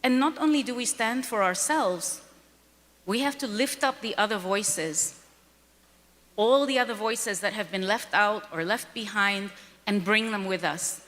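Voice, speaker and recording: Woman, from Kenya, panel speaker, earnest, emphatic, middle pitch, long hall reverb. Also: measured pace, a bit bright. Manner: earnest, emphatic, repeats key points. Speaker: panel speaker, foundation president. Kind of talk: speech at a panel event.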